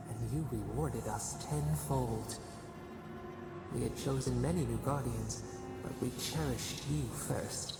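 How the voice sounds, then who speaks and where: An ancient spirit of the wild in a fantasy game, deeply creaking and gravelly. It intones slowly and solemnly, mournful and grand, in a cavernous echo beneath loud music. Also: male voice, distant mic. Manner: slow, incantatory, portentous.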